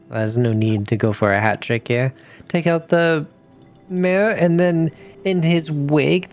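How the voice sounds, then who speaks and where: A man's voice: on the high side, darkish tone. A man playing a tabletop role-playing game, talking casually in character with the group.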